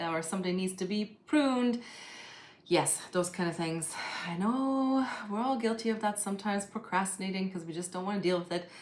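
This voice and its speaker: A woman's voice: mid-range voice, a bit bright. A woman from Germany with a German accent, chatting casually about her plants as she works on them at home, with lots of ums and "kinda". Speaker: plant care vlogger. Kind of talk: casual chat while doing plant chores.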